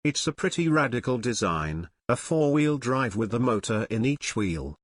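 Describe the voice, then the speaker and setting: A man reading scripted passages aloud in a measured, neutral way. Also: medium pitch, sing-song, no echo, clean studio sound. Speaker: narrator reading practice passages. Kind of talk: scripted read-aloud narration.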